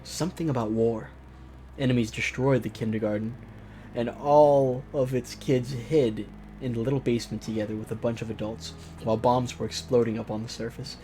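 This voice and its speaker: A man's voice: mid-range voice, steady tone, normal speed, dark tone, a little hiss or hum, poor audio. A man hosting a let's play, reading a visual novel aloud theatrically and breaking off into mocking, exclaimed asides. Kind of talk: narrated game let's play with joking asides.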